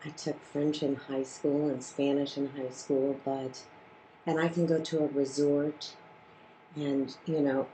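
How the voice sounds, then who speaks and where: A woman introducing herself haltingly and casually to a group, with restarts. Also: a bass voice, little pitch movement, mellow, a little hiss or hum, poor audio. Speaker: workshop participant.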